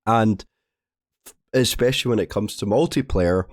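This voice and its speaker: A man hosting a gaming update video, hoarse from a cold, who rambles, apologises and often restarts his words. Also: fairly low pitch, normal speed, dry recording. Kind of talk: casual commentary reading a game update.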